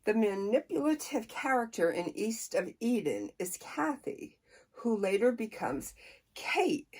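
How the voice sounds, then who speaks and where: Slow-paced middle-aged woman, folksy and playful. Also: fairly high pitch, slightly rough. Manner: unhurried, folksy, playful and expressive. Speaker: book reviewer on a personal channel. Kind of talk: casual chat to camera.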